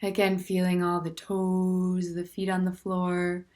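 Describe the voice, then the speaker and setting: A woman leading a guided standing meditation in a gentle, unhurried way, with soft, breathy phrase endings and plenty of pauses. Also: lowish voice, monotone. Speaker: meditation teacher.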